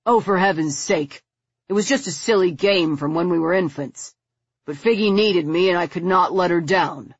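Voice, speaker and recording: Woman voicing an earnest, exasperated, husky boy. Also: fairly low pitch, moderate pace, no room sound, muffled recording. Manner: emphatic, resolute. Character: earnest, grim, exasperated boy loyal to his friend.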